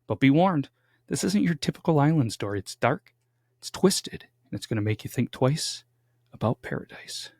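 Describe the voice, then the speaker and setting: A man's voice: medium pitch, lively intonation, normal speed, a little mellow, no room sound, studio quality. A young man co-hosting a casual true-crime podcast from home, chatty and jokey with dramatic build-ups. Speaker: podcast co-host. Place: home studio.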